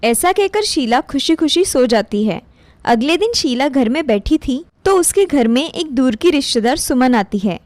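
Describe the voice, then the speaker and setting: A woman's voice: high-pitched, animated, normal speed, darkish tone, dry recording. A woman reading scripted story narration as the narrator of a moral tale.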